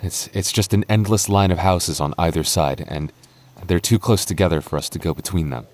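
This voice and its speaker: A man's voice: sits low, darkish tone, close to an everyday voice. A man playing an anxious, protective goth boyfriend in an audio roleplay, stammering and wry as he talks closely to his partner. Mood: uneasy. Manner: stammering, halting, nervous, wry.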